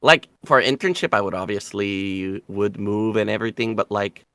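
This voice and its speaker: A man's voice: fairly low pitch, normal speed, fairly bright tone, no room sound. Young man from Puerto Rico, rambling. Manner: rambling, self-deprecating, swears casually. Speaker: streamer guest. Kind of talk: casual interview chat.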